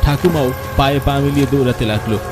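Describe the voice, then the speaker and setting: A man's voice: medium pitch, fast talker, natural voice. A man voicing an anxious young grandson who narrates his flight in a horror cartoon, tense and dramatic. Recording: music and rain behind.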